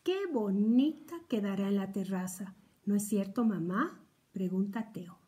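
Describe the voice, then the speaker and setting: A woman's voice: mid-range voice, unhurried, dark tone. An older woman reading a children's storybook aloud as a teacher.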